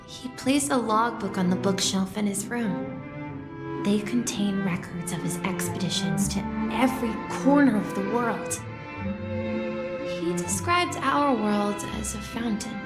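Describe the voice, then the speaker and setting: Woman playing a young boy, game character, wistful and tender, unhurried, roomy echo, loud music behind. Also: medium pitch, lively intonation, lightly acted. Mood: nostalgic. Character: gentle, wistful young boy recalling his grandfather. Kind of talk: dramatic game voice acting.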